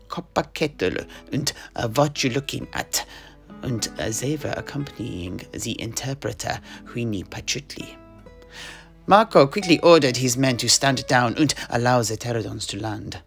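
A man's voice: mid-range voice, lively intonation, measured pace, gravelly, a bit bright. A man playing a mercenary knight who recounts his grandfather's adventures in a hesitant, conversational way for a tavern audio play. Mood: dramatic recounting. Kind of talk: in-universe storytelling audio play. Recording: music behind the voice.

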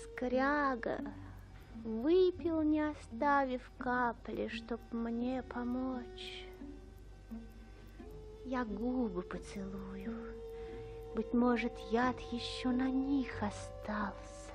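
An actress playing a desolate, resolute young bride in a radio tragedy, tender and theatrical. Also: female voice, fairly high pitch, drawn-out words. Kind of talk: slow tragic radio-play acting. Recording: music behind.

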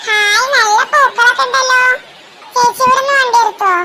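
A woman's voice: very high-pitched, fairly even intonation, brisk, heavy background noise. A woman with a Kerala Malayalam accent voicing a girl in a homemade comedy cartoon. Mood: eager, childlike.

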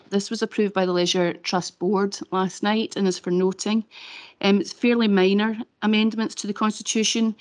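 Woman going through a council report, pretty flat monotone, lots of ums. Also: mid-range voice. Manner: measured, with frequent ums and restarts. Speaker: leisure trust officer presenting a report. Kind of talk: formal council presentation.

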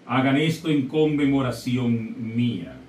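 A middle-aged man with a Dominican Spanish accent, a priest leading Mass in solemn, measured liturgical prayer. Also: mid-range voice, darkish tone. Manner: solemn, measured liturgical recitation, also saying the people's responses. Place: small studio before a backdrop. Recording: roomy, slightly distant mic with faint hiss.

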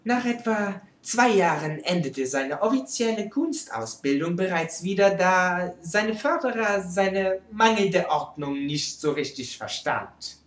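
A pompous, self-important French artist played by a woman presents a comic lecture in a French accent. The delivery is slow and theatrical, swooping up and down, with slightly mangled grammar. The room echoes around the voice. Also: fairly low pitch, lively intonation. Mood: lecturing. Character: vain, theatrical French male artist and poet, Luc Pierre. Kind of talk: comic in-character art history lecture. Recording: roomy, echoing amateur recording.

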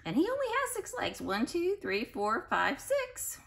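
A woman reading a picture book aloud to young children like a teacher, expressive and carefully spoken, with explanatory asides and questions. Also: very high voice, a little slow, vocal fry.

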